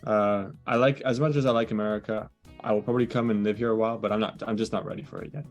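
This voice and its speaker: A man's voice: on the low side, fairly even intonation, quick pace, faint music behind. A young man talking casually as an interview guest over a video call, hesitant with many fillers and restarts. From born in the US, raised in France. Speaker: guest, digital nomad.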